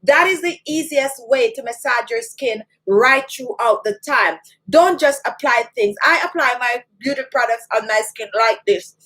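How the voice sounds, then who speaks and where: Woman, Jamaican accent, high voice, emphatic, repeats herself, beauty tutorial host. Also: normal speed, hardly any pauses, a bit bright, low-quality recording. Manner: chatty, emphatic, repeats key points. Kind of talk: skincare tutorial to camera.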